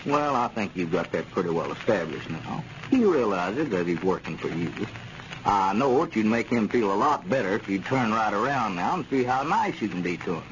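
An actor playing a reasonable, plain-spoken small-town peacemaker in a 1930s radio comedy. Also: male voice, fairly low pitch, very animated, moderate pace. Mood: earnest, reasoning. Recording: scratchy, muffled 1930s radio recording.

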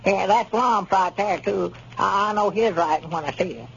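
High pitched southern country bumpkin, hesitant and rambly, old muffled noisy radio show. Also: male voice, moderate pace, creaky, a bit bright. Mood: flustered, rambling. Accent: rural Southern US. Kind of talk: 1930s radio comedy acting.

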